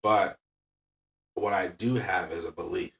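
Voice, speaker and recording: A man with a fairly low voice speaks off the mic in an echoey room. He talks like a podcast host and coach, candid and casual. His delivery is blunt and emphatic, with frequent little checks for agreement. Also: steady tone, measured pace, gravelly, a bit bright, far from the mic.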